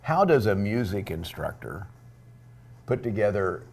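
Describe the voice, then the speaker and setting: Deep-voiced male TV interviewer. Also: very animated, measured pace, darkish tone. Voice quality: low voice. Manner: conversational, prompting questions. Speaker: interview host. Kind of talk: local TV interview.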